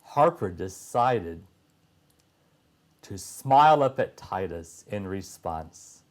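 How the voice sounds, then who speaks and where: A man reading a children's story aloud as a narrator, phrasing deliberately with frequent pauses. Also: sits low, lively intonation.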